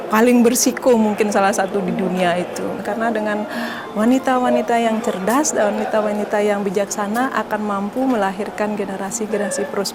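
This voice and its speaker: A woman's voice: mid-range voice, very noisy. A middle-aged woman with an Indonesian accent giving a personal account in an interview. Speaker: aviation worker being interviewed. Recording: interview under loud background music.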